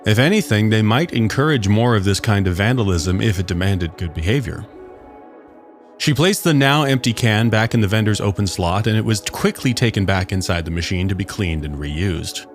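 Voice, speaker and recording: Man narrating fiction, lively pitch swings. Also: moderate pace, faint music behind.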